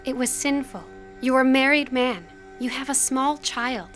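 A woman playing a pious, self-possessed high school girl in an audio drama, earnest and composed. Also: unhurried, fairly bright tone, faint music behind. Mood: righteous.

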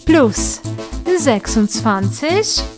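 A woman teaching German to learners, speaking clearly and patiently and pausing so the listener can repeat. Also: fairly high pitch, big pitch swings, music in the background. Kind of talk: slow, clear language lesson with repetition drills.

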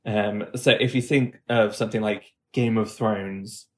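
A man's voice: fairly low pitch, fairly even intonation, a little slow. A man from the English Midlands with an English accent, chatting as a guest accent coach and explaining things with examples. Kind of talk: casual interview chat.

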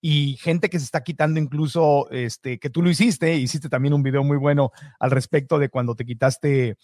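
A man hosting a podcast interview, asking quick chains of questions. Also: a little high, steady tone, a little mellow, dry recording, clean studio sound. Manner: asks rapid chains of questions. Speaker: podcast host. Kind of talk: interview conversation.

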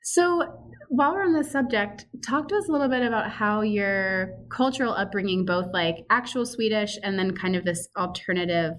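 Woman co-host, interviewing, enthusiastic reactions, mid-pitched voice. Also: fairly bright tone. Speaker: podcast co-host. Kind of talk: interviewing a guest.

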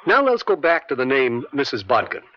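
A man acting a brisk, methodical prosecuting attorney in a radio courtroom drama, with precise, formal, controlling questioning. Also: mid-range voice, huge pitch swings. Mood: methodical, businesslike. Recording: dull vintage radio broadcast sound.

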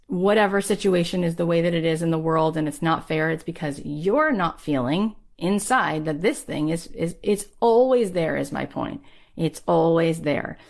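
A woman in her thirties, a podcast host giving an emphatic, rallying motivational talk in a conversational way. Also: fairly low pitch.

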